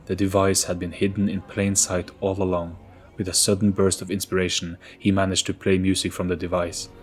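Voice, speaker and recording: A man narrating a story as an audio play in a dramatic, scripted storybook style. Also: low voice. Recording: faint music behind narration. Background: soft background music.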